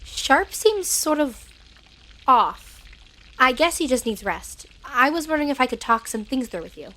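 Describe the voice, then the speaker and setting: A woman's voice: high-pitched, sing-song, unhurried, sharp tone, slight background noise, exaggerated voice. A woman playing a determined, headstrong young crew leader in a sci-fi audio drama, direct and insistent. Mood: uneasy, planning.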